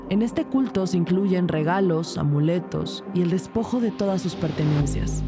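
A female detective recounting a case in a crime audio drama. Her narration is measured and serious, in a lowish voice. Music plays clearly behind her. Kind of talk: scripted crime fiction narration.

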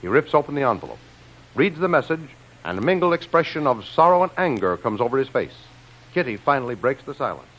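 A man's voice: medium pitch, big pitch swings, fast, fairly bright tone, rough recording. A man announcing and narrating in a formal, declamatory 1930s radio style, reading from a script. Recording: old radio recording with music behind.

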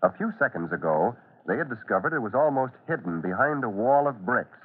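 A male American announcer delivers suspenseful, emphatic narration for a radio serial. His voice is mid-pitched. The sound is narrow and tinny, like a vintage broadcast. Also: expressive, brisk, breathy edge. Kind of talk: dramatic old-time radio narration.

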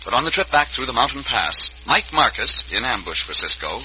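A man's voice: mid-range voice, sing-song, moderate pace, sharp tone, a little hiss or hum. A man narrating as an old-time radio announcer, in an emphatic, theatrical, scripted style. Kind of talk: dramatic 1950s radio announcing. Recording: dull vintage radio recording.

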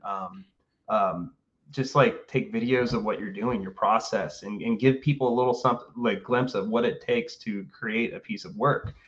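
A man's voice: mid-range voice, lo-fi sound. A man of about forty, a guest artist chatting casually over a video call, rambling with lots of fillers and restarts. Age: 40s. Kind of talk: casual interview chat.

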